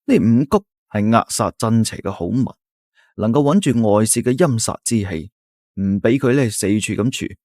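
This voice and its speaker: Male narrator, Cantonese accent, low and animated, dramatic folk-tale reading, dry recording. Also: moderate pace, no room sound. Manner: dramatic, suspenseful storytelling. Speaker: storyteller. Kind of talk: scripted audio-drama narration.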